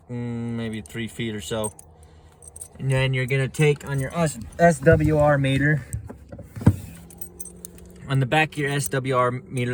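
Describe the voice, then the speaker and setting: A man's voice: medium pitch, a little hiss or hum. Rambling man grumbling over his gear. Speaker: channel host showing truck repairs. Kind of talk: casual how-to tutorial. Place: inside a truck at night.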